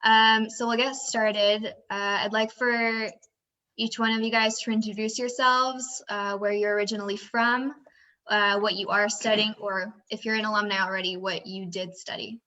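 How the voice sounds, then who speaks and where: A woman with a bright voice hosts a student panel, her pitch staying fairly even. She keeps things organized and friendly, with the odd um or uh between questions. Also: moderate pace. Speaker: moderator of a student Q&A. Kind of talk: hosting an online panel.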